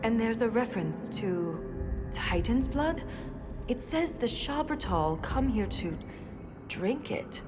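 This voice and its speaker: A woman's voice: fairly high pitch, animated, slow pace. An actress playing a grave, thoughtful female dwarf scholar in a video game. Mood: grave, urgent. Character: earnest, scholarly female dwarf, awed and determined. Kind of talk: video game cutscene acting. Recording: loud eerie music behind.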